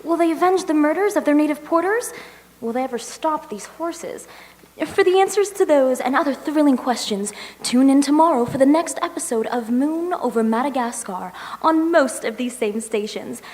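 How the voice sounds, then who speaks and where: A woman's voice: high-pitched, animated, moderate pace, a little mellow. A woman playing an earnest, dramatic radio serial announcer selling the sponsor's product in old-time style. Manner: dramatic, salesy. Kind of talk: 1940s radio announcer acting. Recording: reverberant hall.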